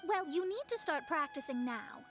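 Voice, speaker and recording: Haughty young astrologer in a game, self-important mentor, high lively voice. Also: female voice, normal speed, over loud music. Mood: lofty. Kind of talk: video game voice acting.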